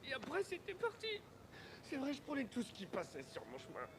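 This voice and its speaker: A very high, strained male voice for a cowardly, foul-mouthed children's entertainer in a film. He is melodramatic and crude, full of self-pity. Clear noise sits behind him. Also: wildly swooping pitch, brisk, full character voice. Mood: self-pitying confession. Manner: frantic, crude, melodramatic, prone to yelling.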